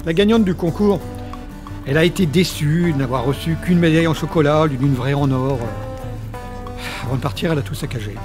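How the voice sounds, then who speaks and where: A man's voice: on the high side, brisk, over music, lightly acted. A man playing a knowing, exasperated revealer of secrets in an audio comedy. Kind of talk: amateur French audio comedy acting.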